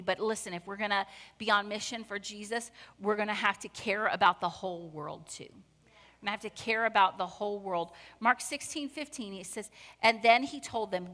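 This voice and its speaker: A woman's voice: mid-range voice, fairly bright tone. A middle-aged woman preaching a sermon in a church, talking conversationally and with emphasis. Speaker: pastor. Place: church sanctuary. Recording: long church hall reverb.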